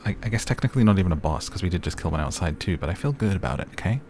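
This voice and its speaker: A soft-spoken man giving gentle, chatty, relaxed ASMR commentary over a game, as the host of the video. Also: deep voice, very fast. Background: game music.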